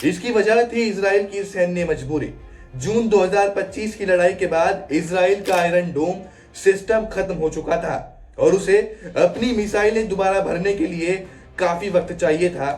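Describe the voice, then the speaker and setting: A man's voice: high voice, moderate pace, darkish tone, recorded from a distance. A man delivering a scripted news explainer in a dramatic manner, posing rhetorical questions. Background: background music.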